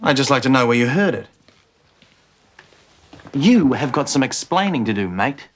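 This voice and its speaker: A self-assured young male doctor, as an actor plays him. He has a mid-pitched man's voice and a smooth, teasing way of talking. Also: big pitch swings, normal speed, close to an everyday voice. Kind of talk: 1990s TV soap acting.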